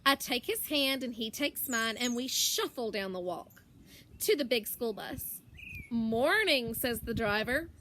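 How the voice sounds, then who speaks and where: Slow, high-voiced woman reading to children. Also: pushed and bright, a little hiss or hum. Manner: deliberate storytelling with drawn-out words and pauses. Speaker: primary school teacher. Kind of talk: expressive children's picture book read-aloud.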